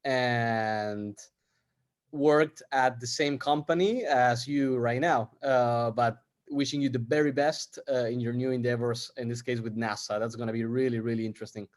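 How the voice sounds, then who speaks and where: A man's voice: medium pitch, steady tone, moderate pace. A man from Argentina, speaking as an interview guest in a casual, hesitant way with many fillers and restarts. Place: based in the U.S.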